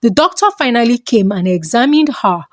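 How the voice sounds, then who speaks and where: A woman with a Nigerian accent reads a scripted folktale in a dramatic, expressive storytelling manner. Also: a little high, a little fast, no echo, studio quality.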